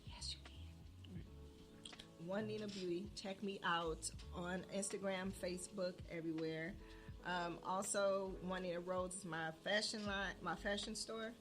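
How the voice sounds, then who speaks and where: Chatty woman with a low voice. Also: fairly even intonation, a bit bright, faint music behind. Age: 30s. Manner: chatty, laughs often, drawn-out fillers. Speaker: podcast co-host and small business owner. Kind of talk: casual podcast chat.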